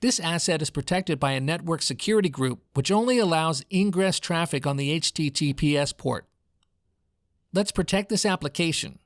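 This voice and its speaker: A man with a General American accent reading a scripted product demo in a clearly enunciated, instructional way, as a voice-over narrator in a home studio. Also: fairly high pitch, normal speed, no echo. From United States.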